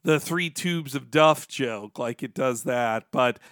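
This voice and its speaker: A man's voice: medium pitch, slow pace, a bit bright, clear, resonant tone, no echo, clean studio sound. A man chatting casually as a podcast host, talking like an opinionated fan who wanders between asides.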